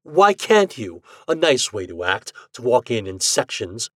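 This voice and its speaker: A man playing a flustered, excitable husband in a comic story, blurting indignantly. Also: mid-range voice, a little slow, no echo, clean studio sound. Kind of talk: storybook character voice.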